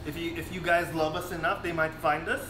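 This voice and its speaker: A man's voice: on the high side, little pitch movement, fairly quick. A young man from the Philippines, co-hosting a casual live stream, rambling with lots of fillers and restarts. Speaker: streamer and co-host. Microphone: phone. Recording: echoey room, clear background noise.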